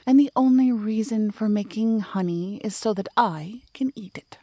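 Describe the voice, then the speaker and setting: A woman's voice: mid-range voice, moderate pace, warm tone, no room sound, lightly acted. Woman voicing an earnest, ponderous storybook bear. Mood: pondering. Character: ponderous, honey-loving male toy bear, earnest and naive.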